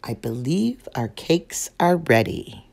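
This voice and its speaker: Woman doing a calm mother bear. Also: very deep voice, takes it slowly, vocal fry, full character voice.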